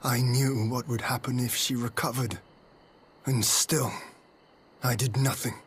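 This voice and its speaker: A man acting a grave, remorseful leader in a fantasy video game cutscene, sombre and measured. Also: medium pitch, level tone, a little hiss or hum, lightly acted. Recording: faint background ambience.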